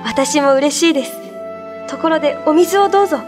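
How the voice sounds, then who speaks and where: A woman playing a gentle, mysterious young spirit in human form, speaking politely in a radio drama. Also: high voice, brisk, over music, lightly acted.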